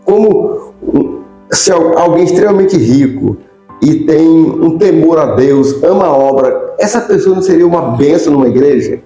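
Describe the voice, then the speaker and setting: A man's voice: fairly high pitch, expressive, normal speed, dark tone. A Brazilian man hosting a conversational religious interview, agreeable and often seeking his guest's agreement. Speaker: interview host, bishop. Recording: echoey room, faint music.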